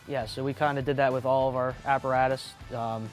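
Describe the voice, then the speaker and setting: A male fire chief explains things in a practical, matter-of-fact manner. His medium-pitched voice moves quickly and plainly, as in an interview, with faint music behind it. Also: little pitch movement. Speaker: volunteer fire battalion chief.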